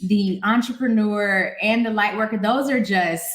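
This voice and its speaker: A woman co-hosts a podcast interview, putting her question together slowly with restarts and a stream of "yeah" and "mm-hmm" while the guest talks. Her middle-pitched voice sounds roomy, with a noticeable echo around it. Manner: halting, restarts, frequent short affirmations. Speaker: podcast co-host.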